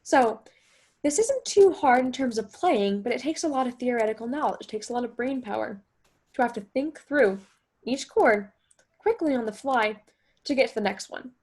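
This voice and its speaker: A woman's voice: on the high side, expressive, normal speed, darkish tone, slightly rough. A woman guitar teacher explaining step by step in a chatty, think-aloud way, as in an instructional music lesson.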